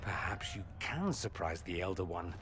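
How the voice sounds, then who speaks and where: A man's voice: low-pitched, sing-song, normal speed, noisy background. An actor playing a dry-witted male mage who speaks in an arch, sardonic way, in a video game cutscene. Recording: long hall reverb.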